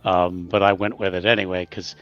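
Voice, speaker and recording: A man's voice: low voice, flat delivery, a little fast, soft background music. A man casually explaining his character's actions as a tabletop game player, on a slightly dull microphone.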